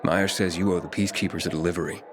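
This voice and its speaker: Man acting a low-voiced, blunt game hero. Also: steady tone, racing, crowd noise, natural voice. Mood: firm. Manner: direct, plain-spoken. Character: blunt, determined young adventurer on an errand.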